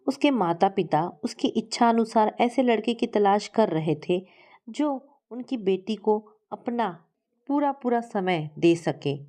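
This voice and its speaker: A woman's voice: mid-range voice, lively intonation, takes it slowly, mellow. A woman narrating a scripted story as a storyteller.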